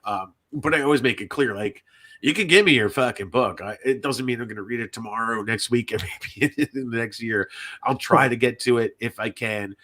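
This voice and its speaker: Bright-voiced man, rambling podcast host. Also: medium pitch, brisk. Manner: rambling, repetitive, sweary, self-deprecating. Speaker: podcast host and indie fiction writer. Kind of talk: casual podcast chat.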